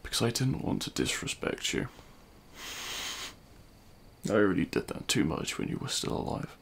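A man playing a remorseful, self-loathing supervillain in an intimate audio roleplay, speaking in a halting, anguished way. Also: lowish voice, sing-song, gravelly, a bit bright, husky, full character voice. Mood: pained remorse. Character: remorseful male supervillain confessing love at a grave.

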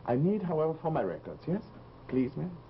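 Middle-aged doctor, animated pitch. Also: male voice. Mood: courteous, coaxing. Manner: formal, measured, polite. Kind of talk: 1950s live television drama acting. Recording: noisy, muffled old TV soundtrack.

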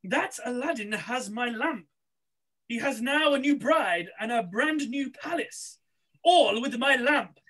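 A woman's voice: fairly high pitch, lively intonation, slow pace, a bit bright, full character voice, poor audio. A woman playing a scheming male pantomime villain posing as a street seller, hammy and gloating. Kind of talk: rough pantomime read-through. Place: small room at home, video call.

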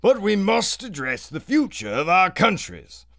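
An actor playing a pompous, blustering aristocratic lord who makes halting speeches in a theatrical audio adventure serial. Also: male voice, sits high, sing-song, bright, forceful tone, dry recording, clean studio sound, full character voice. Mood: pompous, formal.

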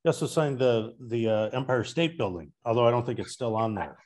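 A man's voice: medium pitch, fairly quick, a little mellow. A man in his fifties co-hosting an interview and asking questions in a casual, conversational way. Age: 50s. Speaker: podcast co-host. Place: indoor room on video call. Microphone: earbud headset.

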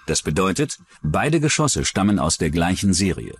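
A man narrating a scripted true-crime documentary in a measured, dramatic storytelling manner. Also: deep voice, very animated, a little hiss or hum.

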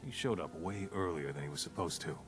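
A man's voice: deep voice, fast talker, music in the background, natural voice. An actor playing a guarded, curt male shopkeeper in a video game, wary and clipped. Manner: wary, clipped, then remorseful.

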